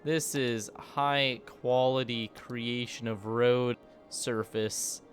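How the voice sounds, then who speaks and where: A man's voice: medium pitch, fairly even intonation, drawn-out words, faint music behind. A man streaming a city-building game, chatting casually and joking with sarcasm. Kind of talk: casual gameplay commentary.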